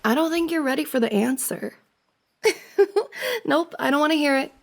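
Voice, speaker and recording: A woman's voice: sits high, big pitch swings, normal speed, vocal fry, full character voice. A woman playing a friend's giggly, teasing older sister in an intimate, conversational ASMR roleplay. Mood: coy, flustered.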